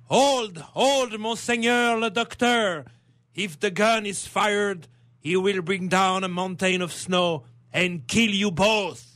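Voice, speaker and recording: A man with a French accent playing an urgent, alarmed gentleman in a melodramatic radio play. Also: high-pitched, slow, no room sound, clean studio sound. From France. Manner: urgent, exclamatory. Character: alarmed gentleman friend shouting a warning. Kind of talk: melodramatic radio theatre acting.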